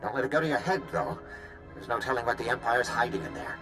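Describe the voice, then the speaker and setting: A man voicing an earnest, hard-pressed field soldier in a video game, brisk and plain-spoken. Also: mid-range voice, big pitch swings, music in the background, lightly acted. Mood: impressed, excited.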